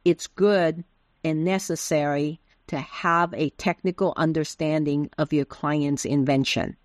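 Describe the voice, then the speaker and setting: Taiwan-born woman guest, lowish voice. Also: steady tone, a little slow, no room sound, studio quality. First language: Taiwanese Hokkien. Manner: patient, explanatory. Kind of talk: interview answers.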